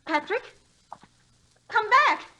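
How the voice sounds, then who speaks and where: A woman's voice: extremely high pitch, big pitch swings, extremely slow, exaggerated voice. An actress playing a frantic, desperate woman in a 1940s radio drama, pleading and crying out. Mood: frantic, terrified. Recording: noisy old radio recording, echoey, distant.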